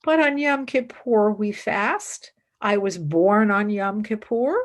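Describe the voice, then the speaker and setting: A woman playing a devout, resolute woman set on becoming a nun, in a radio play with measured, solemn lines. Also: medium pitch, natural voice. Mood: calm, firm.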